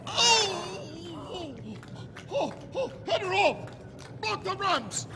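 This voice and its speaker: A performer playing a frantic, gravelly henchman barking hunting orders in a live radio play. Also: extremely high pitch, animated, very slow, noisy background, heavily acted. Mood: frantic, aggressive.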